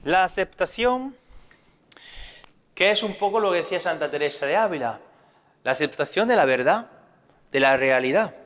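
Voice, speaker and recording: Spanish priest preaching in a reverberant hall. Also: male voice, fairly high pitch, expressive, moderate pace, pauses often, fairly bright tone. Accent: Spanish (Spain). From Spain. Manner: earnest teaching tone with rhetorical questions. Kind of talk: sermon.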